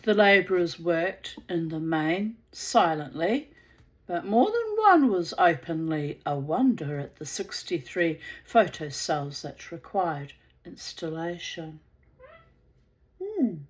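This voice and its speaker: Slow-reading Kiwi woman. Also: on the low side, very animated. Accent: New Zealand. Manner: deliberate, unhurried reading with small asides. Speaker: audiobook reader. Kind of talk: reading a novel aloud.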